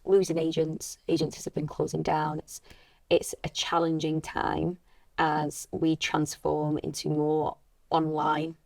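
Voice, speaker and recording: A British woman with a slightly low-pitched voice speaks conversationally, as in an interview. She comes across as an earnest, encouraging coach offering advice to people in her field. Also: monotone, measured pace, creaky, a little mellow, dry recording. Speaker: actor and acting coach.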